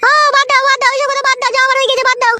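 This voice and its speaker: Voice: very high-pitched, completely flat delivery, rapid-fire, background noise, voice pushed up. A performer voicing an apologetic, coaxing mother-in-law from a village household in a comedy cartoon. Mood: pleading. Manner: coaxing, pleading.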